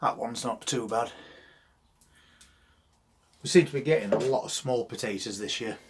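A man's voice: medium pitch, normal speed, breathy edge. A man in his sixties with an English regional accent gives casual, plain-spoken gardening commentary in an understated way. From United Kingdom. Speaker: gardening vlogger. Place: outdoors in an allotment garden and inside a greenhouse.

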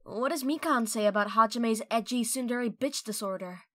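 A woman's voice: a little high, normal speed, bright, forceful tone. A woman reading fan questions aloud in a casual style for a comic dub. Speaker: reader of fan messages.

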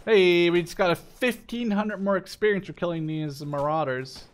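A man's voice: sits high, normal speed. A man in his twenties giving casual let's play commentary, rambling and repeating himself, with casual swearing. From Netherlands. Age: 20s. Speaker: gaming video host.

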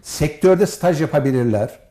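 A man giving formal, measured interview answers as an academic guest, as if from prepared notes. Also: on the high side, lively intonation, warm tone. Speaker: professor and department head, interview guest.

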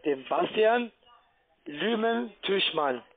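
High-voiced man with foreign accent on phone. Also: lively intonation. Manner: halting, ungrammatical speech. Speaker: call centre account manager, scam caller. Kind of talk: phone sales pitch. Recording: band-limited, tinny phone line.

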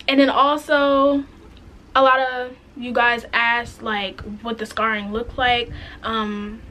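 A raspy-voiced woman in her twenties telling a frank, chatty story-time vlog to camera, full of "like" and "um". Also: on the high side, sharp tone, slight background noise, rough recording. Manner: chatty, frank, many "like" and "um" fillers. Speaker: vlogger. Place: indoors, plain light wall, small room. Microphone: camera in front, head and shoulders.

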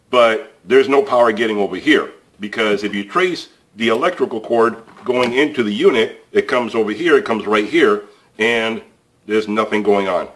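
A man with a fairly low voice talks through a repair as he works. He sounds relaxed and unscripted, thinking aloud with fillers. He is recorded in an indoor workshop, off-camera behind an overhead view of the bench. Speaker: DIY repair channel host. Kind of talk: casual hands-on repair tutorial. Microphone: camera overhead of workbench, voice off-screen.

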